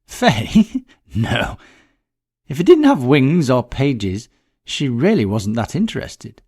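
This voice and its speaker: A man's voice: medium pitch, very animated, slow pace, darkish tone, husky, voice pushed up, full character voice. A man voicing a distressed, bitter woman in a mystery story, talking in a dramatic, gossipy, emphatic way. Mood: emphatic, dismissive. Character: distressed, bitter middle-class woman recounting a friend's death.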